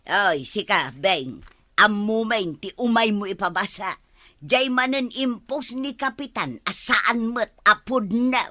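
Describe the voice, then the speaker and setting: A woman's voice: medium pitch, lively intonation, slow pace, bright, forceful tone. A woman with an Ilocano accent playing a sassy, skeptical villager in a radio comedy drama, grumbling as she talks.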